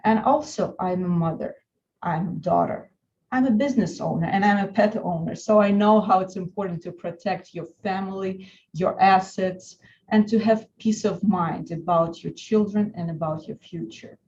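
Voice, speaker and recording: A woman from Russia with a Russian accent, an attorney giving an earnest, deliberate webinar presentation with frequent restarts. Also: mid-range voice, darkish tone, lo-fi sound.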